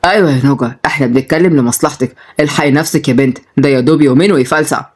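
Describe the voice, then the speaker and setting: A woman's voice: a bass voice, rapid-fire, slightly rough, voice pushed down. A woman voicing a sarcastic, teasing young Egyptian man in a story, with a mock-serious manner.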